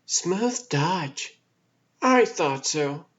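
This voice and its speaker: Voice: mid-range voice, animated, very slow, fairly bright tone, lo-fi sound. A performer voicing an exasperated, sarcastic young city woman with emphatic delivery in a comic dub. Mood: wry. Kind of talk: comic dub character acting.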